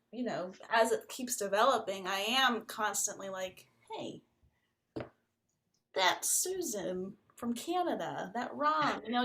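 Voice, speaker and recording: Slow, gushing high-voiced woman host. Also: sharp tone. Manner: enthusiastic, gushing, lots of "like" and laughter. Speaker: podcast host. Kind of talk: casual podcast interview.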